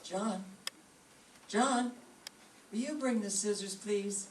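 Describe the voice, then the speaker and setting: A woman's voice: medium pitch, slow pace, a little mellow, close to an everyday voice. Woman acting a nagging radio-comedy wife, roomy and distant. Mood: concerned, searching. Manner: scolding, exasperated. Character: nagging, put-upon wife of a bickering couple. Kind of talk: old-time radio comedy reenactment on stage. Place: live stage performance before an audience. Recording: roomy, distant mic, faint noise.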